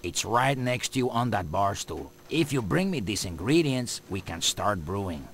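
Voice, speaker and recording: An actor playing an excitable, proud barkeeper and tinkerer in a game, gravelly and dramatic, savoring his words. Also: fairly low pitch, moderate pace, slight background noise. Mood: proud, excited. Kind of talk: animated game dialogue.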